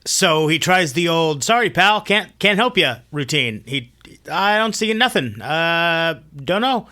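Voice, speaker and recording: Bright-voiced man giving jokey commentary. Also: fairly high pitch, sing-song, unhurried. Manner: slangy, jokey, conversational. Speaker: host, storyteller. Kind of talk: casual joking commentary on myths.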